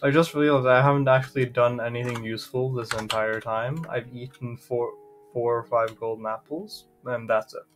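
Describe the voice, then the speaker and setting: Deadpan Norwegian streamer guy. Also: medium pitch, moderate pace, soft background music. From Norway. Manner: dry, deadpan quips. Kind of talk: casual gaming chat.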